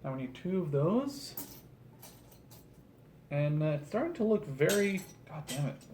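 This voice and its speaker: A man in his twenties chatting casually as a video host while he builds, rambling, halting and self-deprecating. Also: on the high side, sing-song, a little mellow. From Netherlands. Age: 20s. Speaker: YouTube host. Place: small room at home, indoors at a table. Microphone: camera across the table. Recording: room noise, clicking pieces, slightly distant mic.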